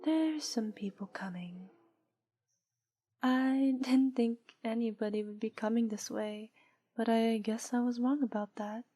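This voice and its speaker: A woman playing a teasing, controlling witch in an intimate audio roleplay, breathy and deliberate, often dropping into whispers. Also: fairly high pitch, dark tone. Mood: coy, teasing. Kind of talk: intimate roleplay audio drama, hypnosis ASMR.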